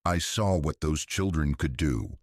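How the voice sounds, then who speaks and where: A man voicing an earnest, guarded researcher, a woman in her mid-fifties, speaking quietly and seriously in an audio drama. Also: a bass voice, a little slow, no room sound, clean studio sound. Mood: urgent, confiding.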